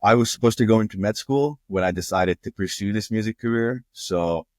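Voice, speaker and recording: A man's voice: fairly low pitch, a little fast, a little mellow, no echo. A young man from Jordan, a music producer guest, earnestly walking through his process in a casual interview.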